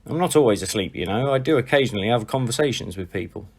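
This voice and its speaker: A man's voice: medium pitch, lively intonation, fast, a little mellow. A man playing a laid-back, frank friend. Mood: matter-of-fact. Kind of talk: audio drama acting.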